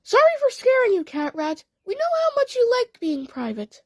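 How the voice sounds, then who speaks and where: Woman voicing a very high, flustered cartoon girl cat. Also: wildly swooping pitch, moderate pace, no echo, clean studio sound, full character voice. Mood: apologetic. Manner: hesitant, earnest. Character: female cartoon cat, sweet, defensive, easily flustered.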